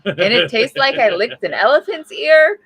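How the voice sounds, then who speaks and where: A woman's voice: high voice, very animated, moderate pace, fairly bright tone, slightly rough, dry recording. A woman chatting casually as a panelist on a livestream. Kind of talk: casual chat.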